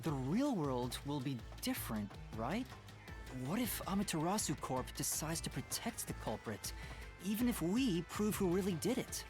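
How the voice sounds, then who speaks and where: A woman's voice: low-pitched, very animated, normal speed. A woman voicing an earnest, anxious teenage boy detective in an anime-style video game, sounding hesitant and reactive. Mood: earnest, unsure. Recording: faint game music behind.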